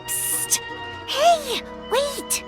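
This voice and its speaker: A woman voicing a bubbly, cheeky young fireworks shop owner in a video game, speaking in a lively colloquial way. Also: very high-pitched, drawn-out words, exaggerated voice. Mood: urgent hushing. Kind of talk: video game character dialogue. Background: background music.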